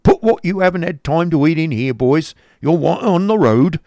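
A man voicing a coarse, oily, sly schoolmaster from a storybook, with a rough, hesitant drawl. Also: fairly high pitch, lively intonation, normal speed, dark tone, dry recording, clean studio sound, pitched above the performer's own voice. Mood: brisk, bossy.

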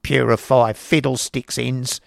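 A man voicing a sharp-tongued, stingy woman who scolds bluntly, in an audiobook reading. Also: mid-range voice, little pitch movement, unhurried, vocal fry, no echo, studio quality, pitched above the performer's own voice. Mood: scolding. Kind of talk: audiobook character voice.

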